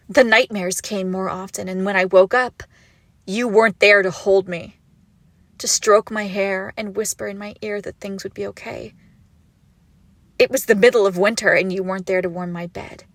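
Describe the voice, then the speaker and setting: A woman performs an icy, obsessive crime boss clinging to a former lover in an intimate audio drama. Her delivery is slow and deliberate, with gravelly fry in the voice and a menace that swings between hushed and unhinged. The sound is dry and close. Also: medium pitch, no echo.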